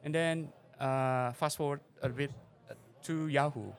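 A man's voice: on the high side, steady tone, a little slow. A middle-aged man from Indonesia, a venture investor and interview guest, answering with frequent fillers and phrasing. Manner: hesitant, many fillers, non-native phrasing. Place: conference forum venue.

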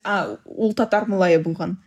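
A woman with a fairly low voice asks her podcast guest follow-up questions. She speaks in a calm, measured way. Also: slightly rough. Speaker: podcast host, interviewer. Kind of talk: interview questions.

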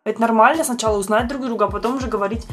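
A woman's voice: on the high side, racing. A young woman on a casual podcast who argues frankly, gets defensive and swears offhandedly. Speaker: podcast co-host.

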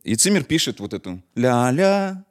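A man's voice: medium pitch, moderate pace. A man speaking as an interview guest, telling anecdotes casually, acting out dialogue and dropping slangy asides. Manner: animated retelling with acted-out dialogue and slangy asides.

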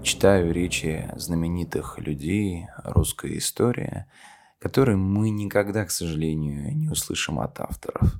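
A man's voice: low-pitched, moderate pace, a little mellow. A man hosting a podcast, reciting a historical speech in a solemn, declamatory and oratorical manner. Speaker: podcast host reading famous speeches. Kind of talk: dramatic recitation of a historical speech with spoken intro. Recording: music under the voice. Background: music.

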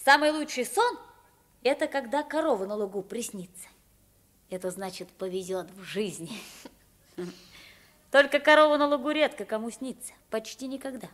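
A woman's voice: fairly high pitch, normal speed, long pauses, close to an everyday voice. A woman in a 1950s radio play acting a naive, plain-spoken poor boy mistaken for a young king. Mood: curious, frank. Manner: animated, frank, inquisitive.

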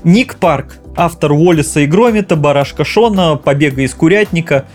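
High-pitched man narrating a video essay. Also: normal speed, a little mellow, soft background music. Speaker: film critic hosting a video essay. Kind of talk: scripted narration.

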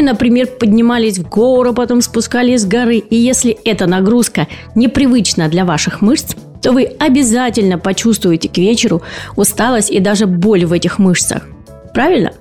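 Warm-voiced woman podcast host. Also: fairly high pitch. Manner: explanatory, poses rhetorical questions to listeners. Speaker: podcast host, speech and voice therapist. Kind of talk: scripted educational podcast.

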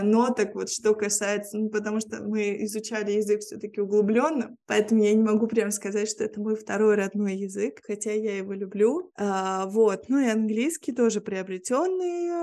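Woman, from Lithuania, mellow tone, reflective, interview guest. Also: mid-range voice. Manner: reflective, searching for words. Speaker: PR specialist and journalist, guest. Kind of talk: casual interview conversation.

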